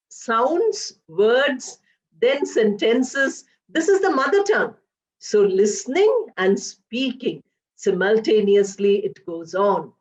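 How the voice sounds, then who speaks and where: A woman lectures slowly and with care, on a somewhat high voice, leaning on single words as she lays out ideas step by step. The sound is dull and lo-fi, like an online class picked up through a computer mic. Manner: explains step by step with simple examples, stretches words for emphasis. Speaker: English professor giving a lecture. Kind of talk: slow, deliberate academic lecture.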